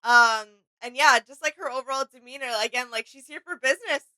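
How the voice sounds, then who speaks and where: A young woman speaks in a high, bright voice. She chats casually and agreeably as a co-host, picked up cleanly with little room sound. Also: a little fast, no room sound. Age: young adult. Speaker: reaction video co-host. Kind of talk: casual reaction chat. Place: small home studio room.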